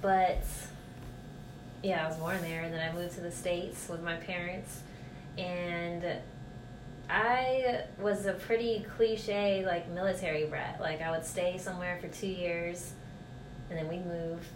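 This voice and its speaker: A woman in her thirties with a slightly low voice answers questions about her childhood in a relaxed, chatty way. The room echoes noticeably. A steady hiss runs under everything. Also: measured pace. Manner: relaxed, chatty, laughs easily. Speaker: interview guest. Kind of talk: casual conversational interview.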